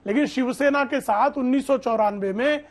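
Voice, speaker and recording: A man's voice: very high voice, normal speed. A man speaking as a political guest in a TV debate, formal and emphatic, arguing combatively with rhetorical questions.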